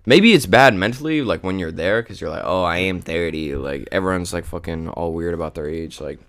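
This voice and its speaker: Young guy w a real low gravelly voice, laid back slangy podcast chat, swears a lot. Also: a little fast, background noise. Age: young adult. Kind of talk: casual chat. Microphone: handheld mic.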